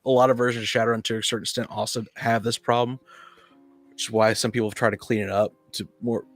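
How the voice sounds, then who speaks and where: A man in a casual podcast discussion, explaining his thinking in a halting, explanatory way. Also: medium pitch, flat delivery, no room sound, soft background music. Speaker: podcast speaker on tabletop gaming.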